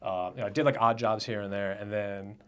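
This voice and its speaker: A man's voice: fairly low pitch, steady tone, brisk, clean tone. Casual young man co-hosting a podcast. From Rhode Island, USA. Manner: loose, joking, self-described unfiltered. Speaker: podcast co-host. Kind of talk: casual chat.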